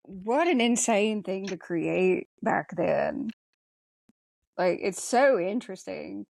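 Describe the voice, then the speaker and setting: A woman co-hosts a podcast in a dry, close-sounding recording. Her pitch sits in the middle of the range. She talks informally and with emphasis, as in a casual chat. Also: lively intonation, slow, creaky, a little mellow, no room sound. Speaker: podcast co-host. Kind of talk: casual chat about a sci-fi film.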